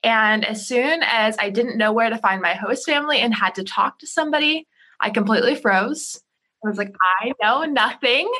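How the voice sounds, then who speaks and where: A woman in her thirties chats as a podcast guest, telling stories in an animated way. Her voice is bright and forward. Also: a little high, moderate pace, breathy edge, low-quality recording. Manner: chatty, animated storyteller. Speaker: podcast guest, ESL teacher. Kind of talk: casual interview storytelling.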